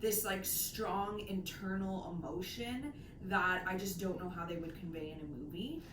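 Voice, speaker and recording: A woman chats casually and enthusiastically about books, leaning on her words and drifting into tangents. She is recorded some distance from the mic in an echoey room, with clear noise behind her. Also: lowish voice, moderate pace. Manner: enthusiastic, digressive, emphatic. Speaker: co-host of a book discussion channel. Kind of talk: casual chatty book discussion.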